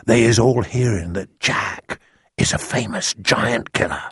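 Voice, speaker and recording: A man voicing a gentle, friendly giant in a storybook, confiding in hushed tones with quaint muddled grammar. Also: on the low side, measured pace, slightly rough, no room sound, studio quality, heavily acted. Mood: hushed, explaining.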